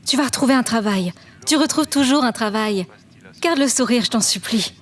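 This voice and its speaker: A concerned female companion, played by an actress, speaks in a slightly high, natural voice. She is gentle and coaxing, as in a radio play. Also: normal speed, lightly acted. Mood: worried, consoling.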